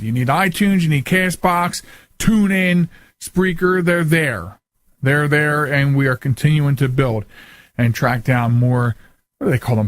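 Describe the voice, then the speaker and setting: A man's voice: fairly high pitch, no room sound. An American man hosting a radio talk show in a casual, chatty, folksy and joking way.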